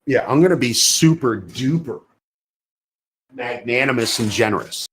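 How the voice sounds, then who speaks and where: A man's voice: mid-range voice, lively intonation, measured pace, fairly bright tone, slight background noise, rough recording. A man co-hosting a podcast in an opinionated, casual discussion, emphatically asking and answering his own questions. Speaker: podcast co-host.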